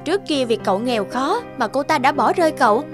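Gossipy, spiteful young classmate. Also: female voice, high-pitched, lively intonation, fast talker. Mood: goading. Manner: gossipy, goading. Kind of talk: cartoon voice acting. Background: background music.

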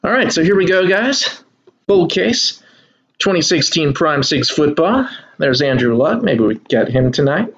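A man's voice: a little high, measured pace, highs cut off. Chatty man hosting a card break. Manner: relaxed, chatty, addresses viewers as y'all. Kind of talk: casual live card break commentary. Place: indoor room at a kitchen table.

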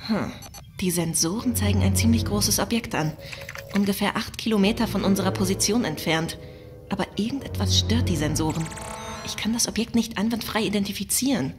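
A woman's voice: mid-range voice, a little fast, close to an everyday voice. A woman playing a spirited starship navigation officer in a science-fiction radio play. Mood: alert. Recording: music behind the voice.

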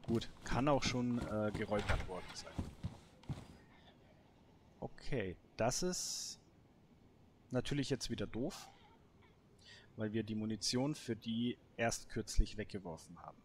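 A man's voice: lowish voice, a little slow, pauses often, a little hiss or hum. A man giving casual gameplay commentary, thinking aloud in a colloquial, musing way. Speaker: gamer, let's play host.

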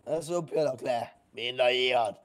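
A man bantering casually during an online role-play game, slangy and given to swearing. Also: medium pitch.

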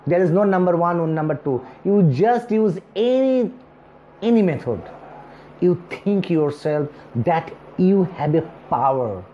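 A man in his thirties, a native Bengali speaker, speaking slowly and earnestly to camera as a speech coach, with long pauses between words. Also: sits high, lively intonation. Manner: earnest and encouraging, gesturing with both hands. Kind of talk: self-help talk to camera. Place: small indoor room, seated on a chair. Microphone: lapel mic. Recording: slightly dull recording with faint hiss.

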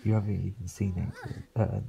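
A young man, slightly croaky, rambling casually as he comments on his gameplay. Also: low-pitched, level tone, moderate pace, a crowd in the background. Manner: rambling, chatty, frequent fillers. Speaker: let's play host.

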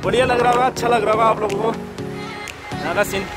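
A man's voice: high-pitched, quick pace, loud music behind the voice. A young man riding a motorbike on a mountain road, chatting to his viewers and calling them guys. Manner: chatty, addresses viewers as guys, excited exclamations. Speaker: vlogger. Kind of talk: casual motorbike travel vlog.

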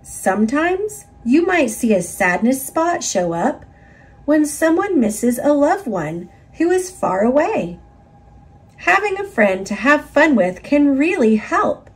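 A woman narrating a children's picture book in an expressive, instructive read-aloud style for young listeners. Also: high-pitched, slow pace.

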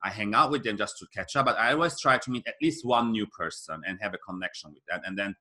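Man, thirties, interview host, video call from home, bright tone, calm sign-off. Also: on the low side, quick pace. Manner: brief affirmations, calm sign-off. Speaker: career coach host. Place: room at home with paintings.

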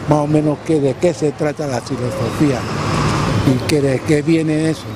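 A man's voice: on the high side, steady tone, moderate pace. Hesitant older Panamanian man outdoors. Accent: Panamanian Spanish. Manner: searching for words, hesitant. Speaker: passerby interviewee. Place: busy city street outdoors. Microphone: handheld mic. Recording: loud street noise.

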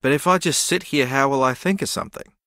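An actor playing a slow-witted, good-natured ex-rugby player in a video game, earnest, literal and befuddled. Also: male voice, mid-range voice, bright, forceful tone, no echo, clean studio sound.

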